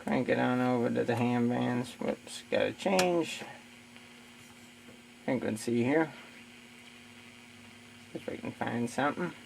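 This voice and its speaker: A man giving a casual, unscripted gadget demonstration in a low-key, halting way, thinking aloud as he tinkers. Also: fairly even intonation, vocal fry. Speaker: radio hobbyist demonstrating a receiver. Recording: very noisy amateur recording with radio static.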